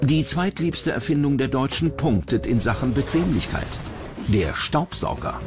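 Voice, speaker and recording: A man's voice: mid-range voice, lively intonation, normal speed. A man from reading scripted documentary narration. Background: music bed under the voice.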